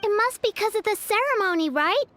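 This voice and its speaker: A woman voicing a tiny floating female companion in a video game cutscene, childlike, lively and exclamatory. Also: extremely high pitch, fairly quick. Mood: chatty. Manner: lively, exclamatory, speaks of herself by name. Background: game music.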